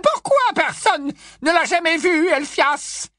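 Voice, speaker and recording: Brief: shrill, cackling ancient aunt, swooping wildly in a dry, close booth. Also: male voice, sing-song, measured pace, sharp tone, no room sound, exaggerated voice. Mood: gloating. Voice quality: shrill, high squawk, endlessly repeating "non, non, non". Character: cackling, nosy, ancient aunt, 107 years old. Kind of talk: storybook reading.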